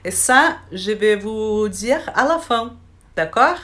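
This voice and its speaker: Brazilian-accented woman vlogger, unhurried and halting, talking into a handheld phone, native Portuguese speaker working through French. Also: medium pitch, lively intonation, fairly bright tone. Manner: hesitant, many restarts and fillers. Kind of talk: casual chat to camera. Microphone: phone held in hand.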